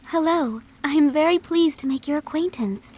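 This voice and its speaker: An actress playing a gentle, principled young princess in a video game cutscene, speaking formally and earnestly. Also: female voice, high voice, normal speed, noisy background, natural voice. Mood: polite.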